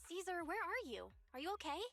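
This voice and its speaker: A woman's voice: extremely high pitch, big pitch swings, fairly quick, creaky, fairly bright tone, exaggerated voice. A woman playing a sweet, caring young female companion in a video game, friendly and earnest. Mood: worried. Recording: game music behind the voice.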